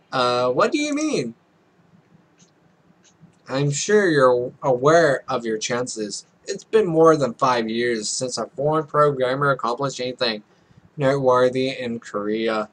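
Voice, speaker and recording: Young man, bright forceful tone, higher-pitched, let's play host, reading game dialogue aloud, offhand remarks. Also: poor audio. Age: young adult. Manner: offhand, stumbles while reading, swears casually. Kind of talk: reading a visual novel aloud with casual commentary.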